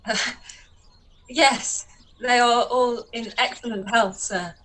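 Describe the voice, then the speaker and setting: A woman's voice: fairly high pitch, fairly even intonation, fairly bright tone, poor audio. A woman voicing a young heroine caught off guard, in a live-read radio play. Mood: flustered. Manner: halting and flustered.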